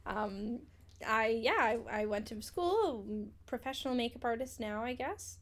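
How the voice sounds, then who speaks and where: A woman's voice: a little high, animated, unhurried, a bit bright. A woman in her twenties co-hosting a casual podcast, chatty, swearing and laughing often. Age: 20s. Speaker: podcast co-host. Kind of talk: casual chat.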